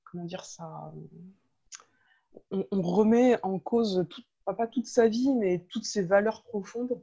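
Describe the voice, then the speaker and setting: Female podcast guest, conversational and chatty, hesitant phrasing, medium pitch, dull low-grade recording. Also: takes it slowly, dark tone, breathy edge. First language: French. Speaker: podcast guest, web entrepreneur. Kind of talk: casual interview, personal storytelling.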